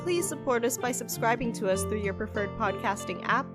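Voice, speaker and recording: A woman's voice: fairly high pitch, a little fast. A woman reading scripted podcast credits in an announcer's style. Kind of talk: scripted narration. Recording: loud music bed.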